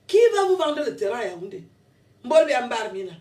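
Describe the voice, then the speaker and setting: A high-pitched woman in her fifties, born in France, rants in a combative, rambling way and slips laughs between her jabs. She sits well back from the mic, and her voice bounces around an echoey room. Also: big pitch swings, fairly quick, a little mellow. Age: 50s. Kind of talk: heated monologue to camera. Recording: roomy echo, distant from mic.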